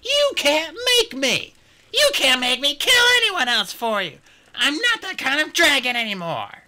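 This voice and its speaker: A man's voice: very high voice, wildly swooping pitch, moderate pace, sharp tone, slight background noise, pitched above the performer's own voice, full character voice. A man reading aloud and voicing a stubborn, anxious young female dragon who pleads and protests. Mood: protesting.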